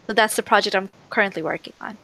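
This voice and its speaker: A young woman from Canada with a soft voice, an audiobook narrator chatting eagerly in a video call interview, giggling often, speaking into a headset mic in a small room. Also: mid-range voice, fast, creaky. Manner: giggly, eager, repeats yes and like.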